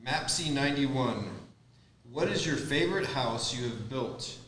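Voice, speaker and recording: A man's voice: mid-range voice, animated, measured pace, fairly bright tone. A man co-hosting a casual podcast chat, laughing as he tells stories. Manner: laughs while telling stories. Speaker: podcast co-host. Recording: echoey room with crowd noise.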